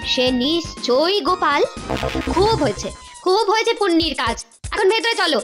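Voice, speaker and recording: A woman playing a scolding, fretful queen in a kids' cartoon, nagging and expressive. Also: extremely high pitch, normal speed, music in the background. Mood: scolding, worried. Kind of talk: Bengali kids' cartoon voice acting.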